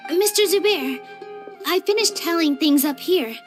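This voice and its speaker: A woman voicing a sweet, gentle young dancer in a game, speaking in a friendly way. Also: very high-pitched, lively intonation, normal speed, faint music behind. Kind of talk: game character acting.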